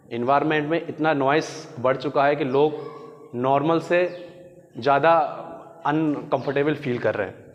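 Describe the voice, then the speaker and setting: A man's voice: mid-range voice, highs cut off. A man with an Indian accent giving an explanatory lesson in a didactic way, restating terms as a teacher does. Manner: didactic, glosses terms in Hindi and English. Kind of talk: explanatory educational lecture. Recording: echoey hall-like reverb.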